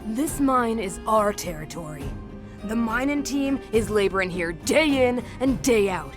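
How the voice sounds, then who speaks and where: A high-voiced, defiant miner. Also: female voice, expressive, measured pace. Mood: indignant, scornful. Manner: scolding, earnest. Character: defiant woman miner, indignant and plain-spoken. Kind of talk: video game voice acting. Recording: game music behind the voice. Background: background music.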